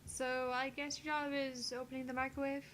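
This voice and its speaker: Casting: female co-host, highish voice, casual amateur reading, faint noise in the recording. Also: little pitch movement, brisk, fairly bright tone. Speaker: co-host voicing a visual novel.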